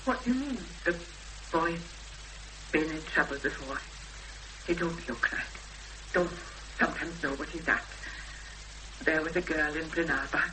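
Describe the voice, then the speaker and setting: A woman's voice: deep voice, unhurried, pauses often. Guarded welsh countrywoman in an old radio play, plain clipped talk, lots of hum and noise. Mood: anxious, defensive. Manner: plain rural speech, guarded, terse. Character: tiny, defensive, protective Welsh farm woman. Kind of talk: 1970s radio drama acting. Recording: noisy old radio broadcast, hum and hiss.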